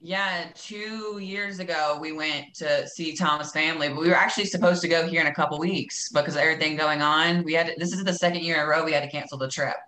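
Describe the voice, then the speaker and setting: A woman's voice: low-pitched, fast, hardly any pauses. A woman from North Carolina with a Southern accent, telling stories casually and acting out what people said. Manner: animated storyteller, acts out quotes. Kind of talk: casual chat. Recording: roomy echo.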